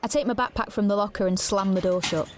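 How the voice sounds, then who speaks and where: An actress playing a defiant, protective teenage sister, blunt and sardonic, in an audio drama. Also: female voice, medium pitch, fast talker, noisy background, natural voice. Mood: wry, irritated. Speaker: lead actor and narrator. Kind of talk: audio drama narration and dialogue. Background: background music.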